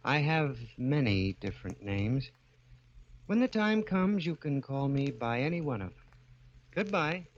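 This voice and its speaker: An actor playing a mysterious, knowing stranger who taunts with insinuating calm in an old-time radio drama. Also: male voice, medium pitch. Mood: cool, cryptic. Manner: insinuating, sly, unhurried menace. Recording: muffled, noisy old radio broadcast.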